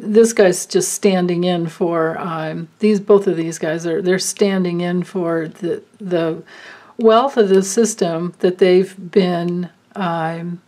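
A woman doing a card reading, thinking aloud with many pauses and fillers. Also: lowish voice, darkish tone. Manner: slow, musing, thinking aloud. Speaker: card reader. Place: indoors at a table, hands and cards filmed from above.

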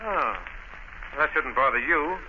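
A ruthless gang boss from a 1930s radio play, with a fairly high voice. He sneers and gives orders with scorn. The recording is dull and slightly noisy. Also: expressive, slow pace. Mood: gloating. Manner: gloating, commanding, sneering.

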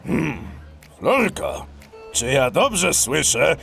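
An actor playing a bossy, sly dog police commandant in a children's audio drama, with a deliberate, hemming delivery. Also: male voice, on the high side, very animated, gravelly, over music, full character voice. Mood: authoritative. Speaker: police chief character.

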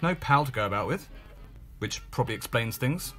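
Man playing a weary, swooping-voiced gentleman. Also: mid-range voice, animated, normal speed, faint music behind, pitched below the performer's own voice. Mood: bored, weary. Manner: world-weary, wry, clipped. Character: weary, bored, upper-class English gentleman of thirty-seven narrating. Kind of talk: homemade radio play monologue.

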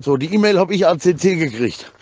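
A man's voice: on the high side, animated, a little fast, a little mellow, dry recording. A man chatting casually in an agitated, rambling way, stammering over repeated words, with slangy, crude swearing. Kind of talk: casual chat, agitated rant.

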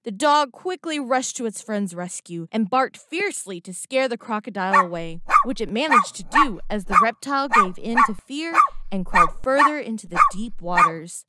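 A woman reading a scripted moral story for children in a deliberate, expressive storytelling manner. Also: a little high. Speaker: narrator.

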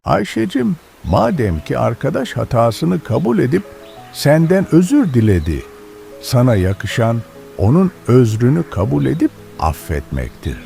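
A man's voice: mid-range voice, huge pitch swings, a little slow, vocal fry, soft background music, exaggerated voice. An actor playing a kind, pious old village grandfather who teaches patiently in a children's cartoon. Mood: gently moralizing. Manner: instructive, gentle moralizing. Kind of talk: educational children's cartoon dialogue.

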